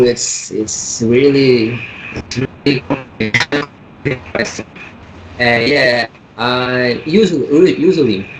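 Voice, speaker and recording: A man from Brazil with a Brazilian Portuguese accent, a comic artist guest speaking English as a second language, halting and searching for words. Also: mid-range voice, background noise. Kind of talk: casual interview over a call.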